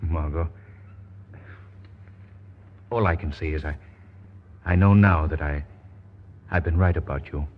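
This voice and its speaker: An actor playing a calm, protective male hero in a 1940s radio drama, with a steady, reassuring manner. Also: a bass voice, unhurried, long pauses. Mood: solemn. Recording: dull old radio broadcast with reverb.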